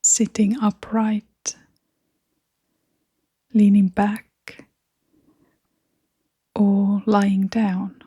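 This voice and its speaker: Female meditation guide. Hushed, airy delivery at a very unhurried pace, gentle and calming, with generous pauses. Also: mid-range voice, no room sound, studio quality. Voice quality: soft, breathy, often near-whispering. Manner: gentle, soothing, with long pauses.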